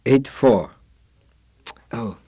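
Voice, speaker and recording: A man reading a numbered list of single words aloud in a deliberate way. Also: medium pitch, warm tone, a little hiss or hum.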